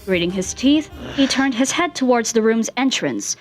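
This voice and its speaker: Expressive woman narrator with lively pitch. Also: normal speed, faint music behind. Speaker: fan fiction narrator. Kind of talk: expressive scripted story narration.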